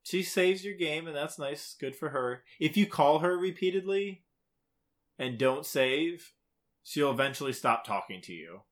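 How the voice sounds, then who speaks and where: A man's voice: fairly high pitch, lively intonation, measured pace. A man co-hosting a podcast, chatting casually about a video game in an informal, opinionated way. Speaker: podcast co-host.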